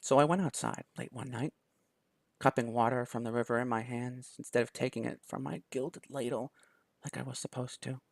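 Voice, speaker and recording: A man reading a visual novel aloud in a quiet, even storytelling voice. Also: mid-range voice, moderate pace, creaky, no echo.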